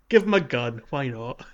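A man's voice: mid-range voice, sing-song, slow. Lo-fi track; male podcast panelist, mid-range voice, informal gaming banter. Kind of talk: casual gaming podcast chat. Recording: dull lo-fi voice track.

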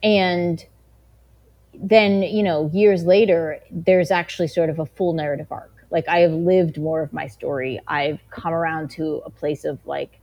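A woman with a low voice answers as an interview guest, a journalist reflecting on her work. She talks conversationally and loosely, often dropping in "you know" and "like" as she thinks. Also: steady tone, unhurried, darkish tone.

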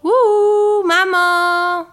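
Young fairy girl, voiced by a woman reader: high, wilful, insistent, storybook style. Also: big pitch swings, measured pace, pushed and bright, faint music behind, heavily acted. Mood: excited, calling out. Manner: insistent, stubborn. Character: headstrong young fairy girl who wants to be a witch.

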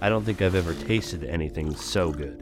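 Sci-fi audio drama: male actor as confident, sparing-of-words spacefarer, very low voice. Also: normal speed, music in the background, natural voice. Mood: solemn, assured.

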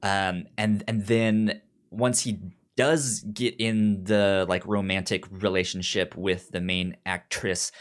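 A man hosting a podcast in casual, opinionated recap chat, animated, with a frequent stutter that repeats the first sounds of words. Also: sits low, slow pace. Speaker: podcast host.

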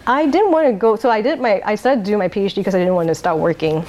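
A woman from Singapore, talking through her life in a rambling oral history interview, laughing often and pausing on um's. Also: mid-range voice, darkish tone. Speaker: interviewee, wine bar owner.

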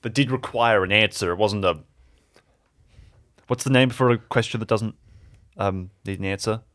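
Low-voiced man improvising a story. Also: a bit bright. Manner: halting, improvising as he goes. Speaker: improvising player in an audio drama. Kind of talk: casual improvised story narration.

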